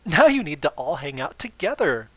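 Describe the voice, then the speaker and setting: A man's voice: fairly high pitch, sing-song, normal speed, a bit bright, no echo, rough recording. A man voicing an eager, bookish female pony teacher in an awkward, overbright and emphatic way. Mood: overeager.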